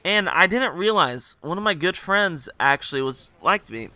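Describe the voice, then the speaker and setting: A young man with a very bright, crisp, fairly high voice recorded dry and close. He rambles through a story over gameplay with jokes and fillers, sounding hungover. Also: lively intonation, moderate pace, no echo. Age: young adult. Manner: rambling, joking, full of fillers and asides. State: hungover, voice a bit rougher and lower than usual. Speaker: gaming video host.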